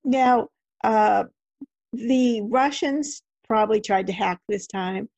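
A woman's voice: fairly high pitch, slow pace, gravelly, a little mellow, dry recording. A woman hosting an interview, asking questions and commenting in a conversational way, on a dull, lo-fi recording. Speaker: interviewer, host.

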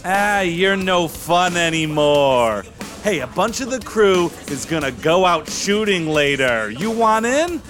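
High-pitched cocky male henchman. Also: very animated, takes it slowly, fairly bright tone, faint music behind, full character voice. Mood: teasing, casual. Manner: teasing, cocky. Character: male vampire gang henchman, taunting, cocky. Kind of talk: audio drama acting.

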